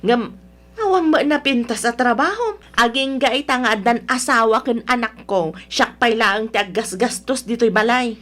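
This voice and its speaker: A woman's voice: on the high side, expressive, normal speed, fairly bright tone. A woman with an Ilocano Filipino accent playing a nagging, scornful middle-aged mother-in-law in a radio drama, sarcastic and demanding. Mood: scornful, scolding. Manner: berating, sarcastic.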